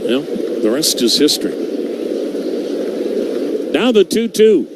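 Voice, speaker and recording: Radio baseball announcer, male, slightly high voice, steady conversational delivery, noisy broadcast audio. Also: slow pace. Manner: steady, conversational play-by-play with stats and stories.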